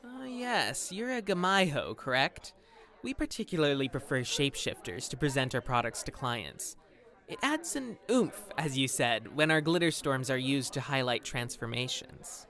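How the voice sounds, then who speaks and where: An actor as a polite corporate interviewer for a magical company in an audio drama. The delivery is formal and businesslike, with a very animated, swooping melody. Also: mid-range voice, normal speed. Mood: courteous, probing.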